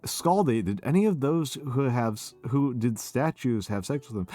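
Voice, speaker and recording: A man's voice: medium pitch, animated, moderate pace, mellow. Drunk male streamer, rambling lecture voice, stumbles over words, light music bed. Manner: rambling, slurring restarts. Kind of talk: drunk casual chat.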